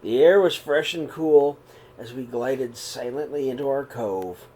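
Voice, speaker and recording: A middle-aged man reading a children's picture book aloud in his living room, then talking warmly to young listeners, with a gentle, unhurried pace and the odd throat clear. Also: mid-range voice. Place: living room, seated on a sofa before curtains. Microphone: webcam or laptop camera at close range.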